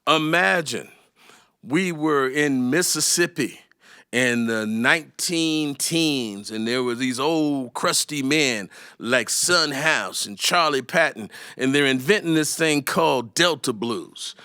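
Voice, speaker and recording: A man telling a story as a performer, in a folksy way with dramatic pauses and conversational asides, on the history of music. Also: mid-range voice, bright, forceful tone, clean tone, dry recording, clean studio sound.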